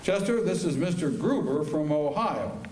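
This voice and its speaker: Heard from a distance in a roomy auditorium, a man plays a calm frontier marshal in a radio western. He speaks mellowly, with measured, fair-minded authority. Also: on the high side. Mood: matter-of-fact. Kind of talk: staged radio western drama. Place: high school auditorium stage. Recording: echoey auditorium, distant mic, faint noise.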